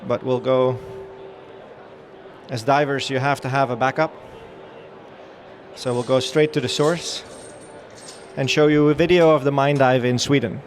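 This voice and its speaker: A man's voice: mid-range voice, fairly even intonation, unhurried, long pauses, a little hiss or hum. A middle-aged man from the Netherlands with a Dutch accent, giving a chatty, upbeat talk to a live audience on a trade-show floor, with many fillers and restarts.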